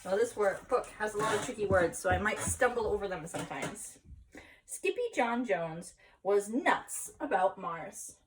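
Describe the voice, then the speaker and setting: A woman reading a children's story aloud expressively, pausing for explanatory asides and questions to young listeners. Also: mid-range voice, lively intonation, recorded from a distance, background noise. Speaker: story reader.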